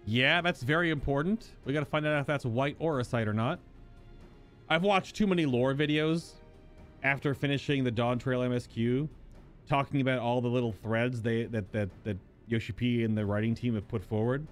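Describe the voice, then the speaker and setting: A man's voice: medium pitch, normal speed, soft background music. A man giving casual live-stream commentary on a game's story, chatting and speculating in asides between lines he reads aloud.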